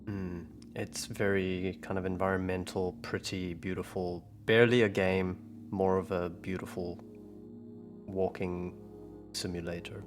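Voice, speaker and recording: Low voiced guy, casual chat with friends on a gaming podcast, music clearly playing behind. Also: level tone, a little slow. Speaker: player on a tabletop podcast.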